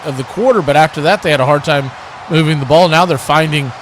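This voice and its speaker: A man's voice: a little high, fast talker, a little hiss or hum. A man calling live football play-by-play in punchy short phrases with colourful action verbs. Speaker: play-by-play commentator, watch party host. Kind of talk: live American football radio-style commentary.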